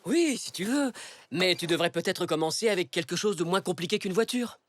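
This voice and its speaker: A man's voice: high voice, animated, brisk. An actor playing a mild, affectionate, unsure zebra father in a French children's cartoon. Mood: kindly. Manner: hesitant, self-doubting, affectionate.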